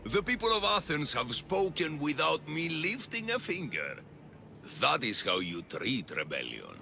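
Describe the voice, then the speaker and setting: A man's voice: on the high side, huge pitch swings, unhurried, gravelly, pushed and bright, faint music behind, full character voice. A man playing a smug, power-hungry politician of ancient Athens in a video game, speaking in grandiose oratory. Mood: triumphant, gloating. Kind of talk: cinematic video game acting.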